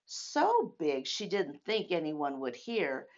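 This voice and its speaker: A woman's voice: deep voice, very animated, takes it slowly, highs cut off. A woman reading a story aloud to young children, addressing them directly with questions and emphatic phrasing. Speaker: children's storytime host at a church.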